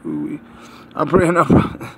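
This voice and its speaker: A man in his thirties giving a rambling religious talk to viewers, halting and repeating his phrases, recorded on a phone outdoors. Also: a little high, flat delivery, vocal fry, slightly rough. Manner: repetitive, halting, circling back over phrases. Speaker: online preacher, self-styled prophet. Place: outdoors beside a building. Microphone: phone at arm's length.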